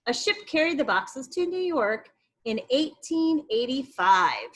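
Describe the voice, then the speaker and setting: High, very lively woman teacher reading aloud. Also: a little slow. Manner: expressive, explanatory, addressing young pupils. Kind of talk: children's read-aloud with teaching asides.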